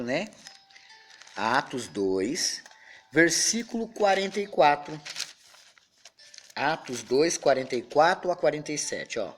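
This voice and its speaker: A male pastor with a slightly high, very animated voice leads a relaxed Bible study, chatting to his listeners and tagging on little questions as he goes. Soft music plays faintly behind him. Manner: chatty, peppers talk with tag questions. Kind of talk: informal Bible study with prayer.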